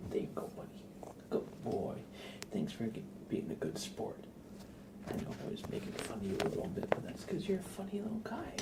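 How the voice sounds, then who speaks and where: A man hosting an ASMR livestream in a breathy whisper and soft murmur, gentle, repetitive and unhurried. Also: high-pitched, very animated, heavy background noise.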